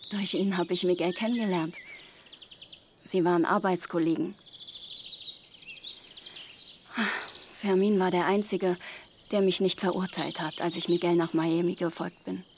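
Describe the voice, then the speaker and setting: An actress playing a disillusioned, melancholy widow back from exile in a German radio crime drama, speaking wistfully. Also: female voice, lowish voice, steady tone, moderate pace, background noise, lightly acted. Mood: guarded.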